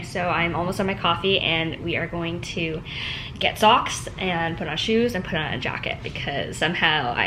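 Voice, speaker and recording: A woman's voice: fairly low pitch, noisy background. A young woman vlogging in casual, rambling chat, her voice worn and her nose stuffy as she loses her voice. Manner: chatty, rambling, peppered with "like". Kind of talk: casual daily vlog chat. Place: at home, then at a restaurant.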